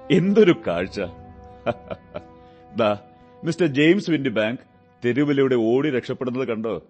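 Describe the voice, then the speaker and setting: A shrewd, commanding detective for radio drama. The voice is male and on the low side, with measured, analytical phrasing and a scornful edge. Faint music sits in the recording. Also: a little mellow. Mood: amused. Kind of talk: Malayalam radio drama acting.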